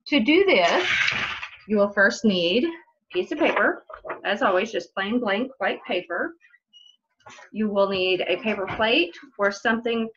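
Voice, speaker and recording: A middle-aged woman speaks as a children's librarian leading story time. She explains things in simple terms for young listeners, at an average pitch. The sound is dull and lo-fi, like a webcam recording made at home. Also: fairly bright tone. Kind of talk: children's story time read-aloud and craft instructions. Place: indoors in a room at home.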